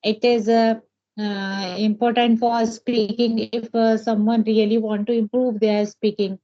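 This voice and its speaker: A woman's voice: a little high, level tone, measured pace, dark tone. Hesitant woman, muffled call audio. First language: Hindi. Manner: hesitant, many fillers and restarts. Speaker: English learner, homemaker. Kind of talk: casual conversation practice.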